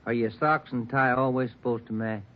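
A man's voice: mid-range voice, fairly even intonation, natural voice. Guy playing a curt cop partner, thin phone-like old radio sound. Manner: brisk, curt. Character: brisk male police detective partner. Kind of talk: 1940s radio acting. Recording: tinny old radio broadcast with music.

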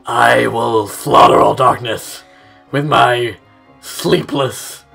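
Man putting on a gravelly, brooding dark knight. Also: mid-range voice, steady tone, slow pace, soft background music, exaggerated voice. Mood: mock menacing. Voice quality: gravelly, raspy growl. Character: brooding self-styled dark knight hero, menacing and sleepy.

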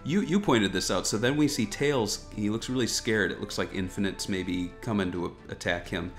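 Low-voiced man chatting over music. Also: moderate pace. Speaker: host of a gaming news show. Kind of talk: casual video game trailer commentary. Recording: music behind the voice. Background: background music.

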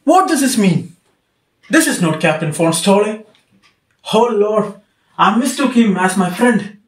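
A man's voice: high voice, expressive, unhurried. A man acting a hearty middle-aged ironmaster in a student radio drama, earnest and coaxing. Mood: shocked. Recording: echoey room.

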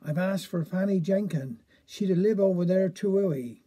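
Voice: mid-range voice, normal speed, dark tone, slightly rough, lightly acted. A reader voicing a lovesick young man who speaks in an earnest, pleading way. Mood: eager.

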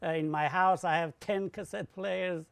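Creaky, higher-voiced man in his sixties. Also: steady tone, moderate pace. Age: 60s. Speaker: cassette manufacturer, interviewee. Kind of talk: casual interview talk. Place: small factory workshop, indoors.

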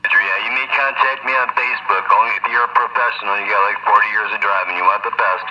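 CB operator, male, deep-ish voice, scornful off-the-cuff patter with repeated catchphrases, slightly noisy radio sound. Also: fairly even intonation, quick pace, pushed and bright. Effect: CB radio transmission. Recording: dull, noisy CB radio audio.

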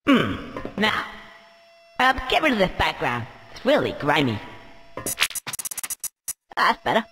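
A man's voice: high voice, wildly swooping pitch, slow, bright, forceful tone, big-room sound, soft background music, heavily acted. A man voicing a cheeky young cartoon squirrel in a video game, muttering offhandedly and hesitantly. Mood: hesitant, pleading.

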